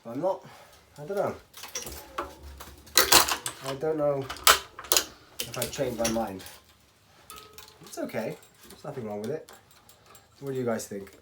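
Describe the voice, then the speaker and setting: A man from Spain with a medium pitch talks casually through a hands-on tutorial. He rambles a little and tends to clear his throat. Clattering, clicking work noise runs clearly behind him. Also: lively intonation, a little slow, pauses often. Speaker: racquet stringer giving a tutorial. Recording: clattering workshop tool noise.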